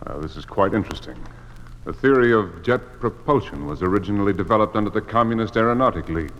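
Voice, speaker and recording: An actor playing a principled, defiant museum official in an old-time radio drama, with an earnest, biting, theatrical delivery. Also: male voice, lowish voice, lively intonation, quick pace, a bit bright, dull audio. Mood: measured, wary. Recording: old radio recording, long hall reverb.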